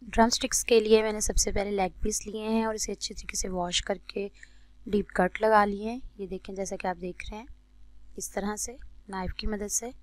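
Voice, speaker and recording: A woman with a Pakistani accent narrates a recipe tutorial as a cooking host, in a measured, instructional way. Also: mid-range voice, steady tone, no room sound.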